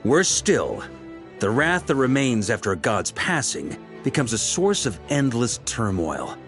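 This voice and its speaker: An actor playing an authoritative knight commander in a video game, measured and confident, speaking formally and deliberately. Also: male voice, medium pitch, sing-song, a bit bright, soft background music. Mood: grave, explanatory.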